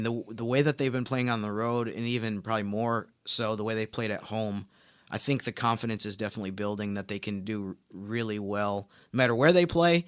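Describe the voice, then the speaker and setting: A man's voice: on the low side, fairly bright tone, smooth, dry recording, studio quality. A man co-hosting a sports podcast, talking casually in an agreeable, explanatory way.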